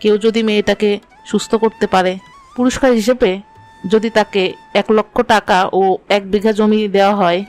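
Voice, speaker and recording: A woman playing a concerned, practical village mother in a cartoon, offering measured advice. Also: mid-range voice, fairly even intonation, a little mellow, faint music behind, close to an everyday voice. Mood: earnest, advising.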